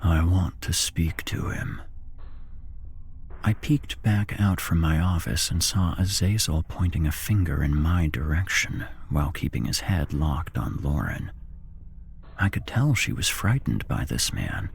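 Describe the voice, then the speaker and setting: A man's voice: very deep voice, lively intonation, measured pace, creaky, husky. A man narrating a scripted horror story in a whispered ASMR style.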